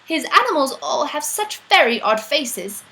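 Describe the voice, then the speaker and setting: A woman's voice: high voice, sing-song, measured pace, bright, forceful tone, pitched above the performer's own voice, full character voice. A woman voicing an eager, boastful, imaginative young boy in a storybook, with emphatic, sing-song rhyming delivery.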